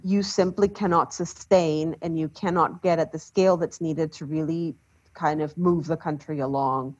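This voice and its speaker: A woman from Ecuador, a development expert speaking in a measured, deliberate way on a panel. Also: low-pitched, fairly even intonation, breathy edge. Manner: measured, deliberate, trailing off softly. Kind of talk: panel discussion. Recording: dull lo-fi sound.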